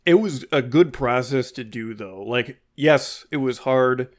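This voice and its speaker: A man with a mid-range voice who talks slowly and deliberately. He chimes in on a casual podcast chat with brief, dry remarks. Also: mid-range voice. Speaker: podcast co-host.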